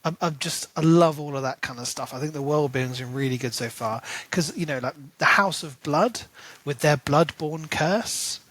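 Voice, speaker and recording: A man with a fairly high voice talks about a book he loves on a podcast, building theories as he goes. He fills pauses with "you know" and "like" and sounds keen. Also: a bit bright. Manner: enthusiastic, theorizing, many fillers. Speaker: podcast co-host. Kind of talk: casual book discussion.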